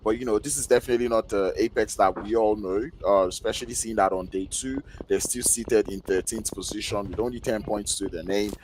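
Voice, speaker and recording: Excited esports analyst man. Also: on the low side, brisk, hardly any pauses, slight background noise, poor audio. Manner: animated, builds excitement on big plays. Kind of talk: live game analysis.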